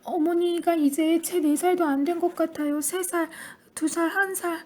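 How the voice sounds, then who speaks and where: A woman doing a shrill, panicky mother. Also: fairly even intonation, moderate pace. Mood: panicked. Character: anxious middle-aged mother.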